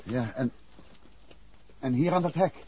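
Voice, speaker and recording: An actor playing a calm, wry, polite amateur detective out with his wife in a 1960s Dutch radio drama. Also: medium pitch, unhurried, breathy edge. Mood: alert, matter-of-fact. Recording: dull, noisy 1960s radio recording.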